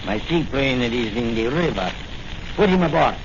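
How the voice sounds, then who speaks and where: A man's voice: medium pitch, expressive, moderate pace, dull audio, close to an everyday voice. Man acting a cold saboteur chief, noisy old recording. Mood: cold, commanding. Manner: formal, stilted foreign-style phrasing. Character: cold, menacing male saboteur captain, gang chief. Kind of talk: 1940s radio serial acting.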